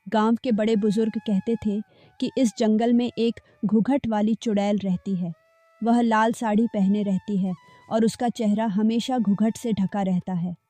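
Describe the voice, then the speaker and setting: A woman narrating a cartoon folk story in a steady, measured way. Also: mid-range voice, no room sound. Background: faint background music.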